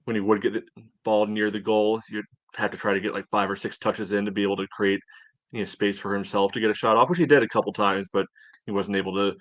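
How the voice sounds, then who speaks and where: A man co-hosting a soccer podcast, breaking down a match in casual, halting talk. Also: lowish voice, fairly even intonation, dry recording. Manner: halting, peppers talk with "you know". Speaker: soccer podcast co-host and analyst.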